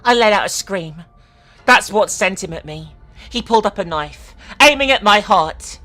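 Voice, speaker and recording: A woman's voice: medium pitch, animated, normal speed, bright, forceful tone. Woman reading as a frightened young boy, music behind. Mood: shaken, urgent. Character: frightened young boy recounting a night attack. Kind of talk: dramatic creepypasta reading.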